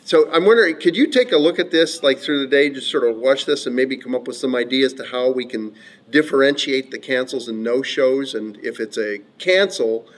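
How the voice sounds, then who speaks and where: A man's voice: mid-range voice, moderate pace, natural voice. A man playing a mild-mannered clinic boss in a conversational training film, hesitant and often repeating his words. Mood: friendly, appreciative. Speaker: office manager in staff training film. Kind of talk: acted workplace role-play, conversational.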